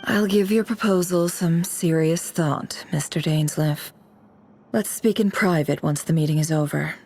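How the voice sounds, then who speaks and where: A woman's voice: sits low, measured pace, a little mellow, a little hiss or hum. A woman voicing a cool, shrewd schemer in a video game, guarded and proud, with a sly, wry, teasing manner. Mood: businesslike.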